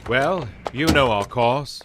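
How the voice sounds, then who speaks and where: A man acting a crooked executive in a vintage radio thriller. He is cold, curt and commanding in a medium-pitched voice, with very loud vehicle noise behind him. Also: slow pace, lightly acted. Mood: evasive, firm. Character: cold, evasive swindling company boss on the run. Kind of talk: 1940s radio crime drama acting.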